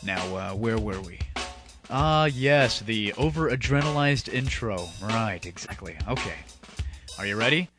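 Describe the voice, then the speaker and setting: A man's voice: lowish voice, lively intonation, measured pace. A man hosting a gaming audio show with a sarcastic, theatrical, mock-grandiose comic monologue. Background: music.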